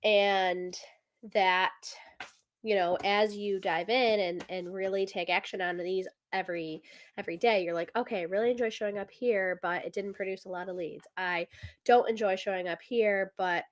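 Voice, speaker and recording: A woman hosting her own podcast, talking casually about business with lots of fillers, her voice a little congested and rough. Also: mid-range voice, steady tone, normal speed. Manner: chatty, many fillers, thinks aloud with math. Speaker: podcast host. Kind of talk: casual solo business talk.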